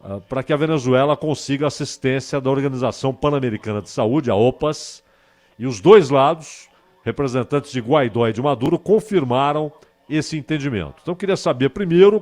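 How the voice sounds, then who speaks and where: A man's voice: medium pitch, darkish tone. Brazilian man hosting a radio interview. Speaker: radio show host.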